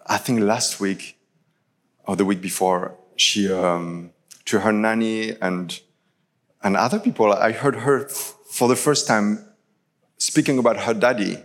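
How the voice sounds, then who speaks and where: A man in his thirties, originally from France, with a French accent, preaching a chatty live sermon full of fillers. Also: lowish voice. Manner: casual, chatty storytelling with many fillers. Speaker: pastor. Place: large church hall. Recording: live church recording with long hall reverb.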